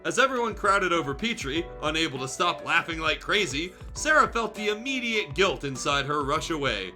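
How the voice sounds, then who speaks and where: Bright, sharp-voiced man reading over music. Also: fairly high pitch, sing-song, normal speed. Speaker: streamer reading fan fiction aloud. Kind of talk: animated story narration with casual asides. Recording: music playing behind the voice.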